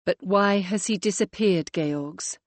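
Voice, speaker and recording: A woman voicing a brisk, firm female police detective who speaks crisply and with authority. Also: mid-range voice, no room sound.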